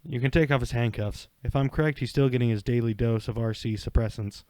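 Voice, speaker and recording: Man acting a dark-voiced commanding investigator. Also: mid-range voice, brisk, no echo, natural voice. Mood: calm, commanding. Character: calm, assured male senior investigator in command. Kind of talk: anime fan fiction audio drama.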